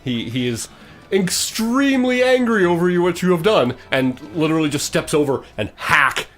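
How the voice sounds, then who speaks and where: A man's voice: fairly high pitch, animated, normal speed, fairly bright tone. A man running a tabletop game as game master, improvising narration of the action and handling rules. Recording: music behind the voice. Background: music.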